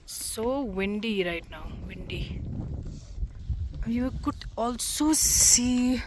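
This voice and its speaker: A woman vlogging a trip to camera outdoors on a windy hilltop, relaxed and admiring of the view. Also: fairly high pitch, very slow. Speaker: travel vlogger. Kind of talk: casual travel narration to camera.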